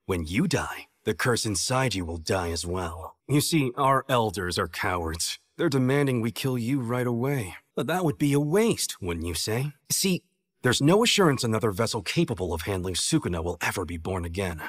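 A man's voice: on the low side, lively intonation, normal speed, dry recording, clean studio sound. An American man playing a cocky, playful young sorcerer teacher in an English anime dub, with a breezy manner. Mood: calm, matter-of-fact.

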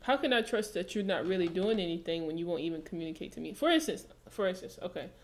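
A young woman with a naturally deep voice talks casually into a studio microphone in a small room. Her phrasing is relaxed and drawn out, with sudden emphatic rises when she makes a point. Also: fast talker. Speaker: podcast co-host. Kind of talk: casual chat. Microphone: studio mic with headphones.

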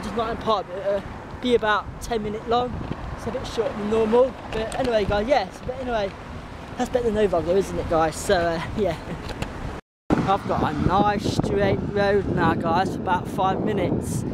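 A man's voice: sits high, fairly even intonation, moderate pace. A man in his twenties with an English accent, vlogging casually outdoors, rambling and informal as he talks to viewers. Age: 20s. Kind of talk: casual chat to viewers while cycling. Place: outdoors on suburban roads, riding a bicycle. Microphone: handheld camera at arm's length. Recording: very noisy outdoor wind and traffic.

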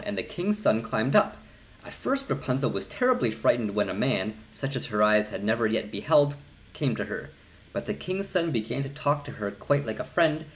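Male storybook narrator, middle-aged, animated range. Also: medium pitch. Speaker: storyteller reading a fairy tale aloud. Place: small indoor room.

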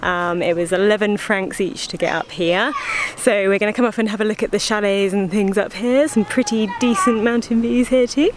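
A woman's voice: mid-range voice, steady tone, normal speed, hardly any pauses, noisy background. A young British woman, a travel vlogger chatting casually to a handheld camera at arm's length outdoors. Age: young adult. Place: outdoors in mountain villages.

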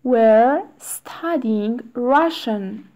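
A woman reading short English phrases aloud one at a time, with long pauses for learners to repeat. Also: fairly high pitch, expressive, mellow. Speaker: English teacher. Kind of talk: slow read-aloud drill for learners.

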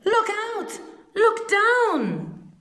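A woman voicing a gentle young male misfit dinosaur in a storybook, earnest, drawn-out and hooting. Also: extremely high pitch, huge pitch swings, reverberant, voice pushed up, full character voice. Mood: alarmed warning.

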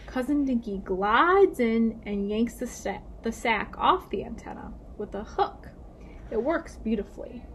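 A woman's voice: on the high side, animated, measured pace. A woman voicing a patient, protective father in a children's story, with faint noise in the recording. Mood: patient explaining. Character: fatherly man, patient explainer, protective and anxious.

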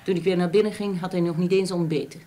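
A woman's voice: lowish voice, steady tone, fast talker, dark tone, lightly acted. An actress playing a prim, haughty married lady in a Dutch radio drama, speaking in a stiff, curt, disdainful way. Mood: curt, factual. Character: haughty, prim, level-headed married upper-class woman. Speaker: actor.

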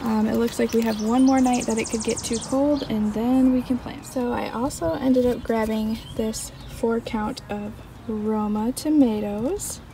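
A woman's voice: fairly high pitch, steady tone, a little slow, warm tone, slight background noise. A young adult woman giving casual vlog narration as a homemaker. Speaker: homemaking vlogger.

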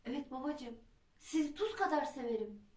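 A woman's voice: high-pitched, expressive, normal speed, darkish tone. A woman storyteller voicing a respectful, innocent young prince, speaking politely and humbly. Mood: sincere. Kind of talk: acted folk-tale storytelling. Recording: roomy echo, distant microphone.

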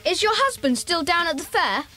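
Curious, eager schoolchild for children's audio play: very high, lively intonation. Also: female voice, moderate pace, faint music behind, heavily acted. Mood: cheerful, curious.

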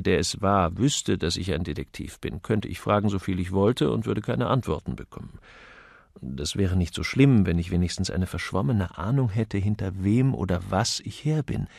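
A man's voice: fairly low pitch, gravelly, no echo, clean studio sound. A man voicing a witty, flippant upper-class amateur detective in an audiobook, chatty, wry and urbane.

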